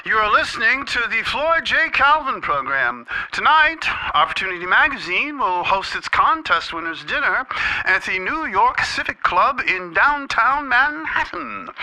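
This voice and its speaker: A man's voice: high voice, bright, forceful tone, full character voice, low-quality recording. A man playing a showy 1920s radio program announcer in an audio drama, declaiming the evening's society news.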